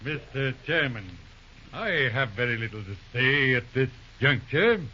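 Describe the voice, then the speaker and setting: Sharp-voiced, polished attorney. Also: male voice, mid-range voice, expressive, unhurried. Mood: suave, formal. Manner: formal, polished. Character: polished, self-assured male big-city attorney. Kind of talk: old-time radio drama acting. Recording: dull noisy old radio recording.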